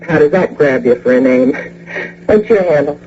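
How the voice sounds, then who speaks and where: A woman's voice: low voice, expressive, normal speed, warm tone. An actress playing a brash, chatty woman neighbor in a radio drama, with a slangy, breezy, wisecracking delivery. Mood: breezy, joking. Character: brash, chatty, short plump New York woman neighbor. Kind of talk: old-time radio drama acting. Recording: dull, noisy radio drama recording with hum.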